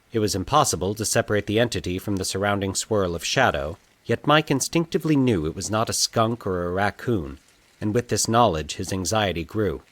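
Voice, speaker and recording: A man narrating a scripted fiction story in a measured, dramatic storytelling manner. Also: on the low side, a bit bright, no room sound.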